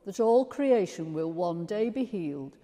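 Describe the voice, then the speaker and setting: A woman's voice: fairly low pitch, animated, unhurried, mellow. An older woman priest reading liturgical prayers as she leads a church service. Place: large stone church. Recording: reverberant church.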